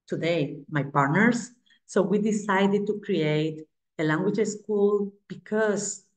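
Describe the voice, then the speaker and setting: A woman in her forties from Spain, speaking English with a Spanish accent, presenting her language school on a video call in a halting, friendly way with frequent restarts. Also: fairly low pitch, darkish tone, poor audio. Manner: halting, repeats herself, friendly and chatty. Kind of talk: online presentation.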